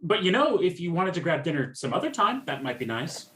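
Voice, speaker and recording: A man's voice: on the high side, big pitch swings, a little fast, a bit bright. A man acting in a radio play as a polite, hesitant, heartbroken customer. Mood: shyly hopeful. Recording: roomy echo on the voice.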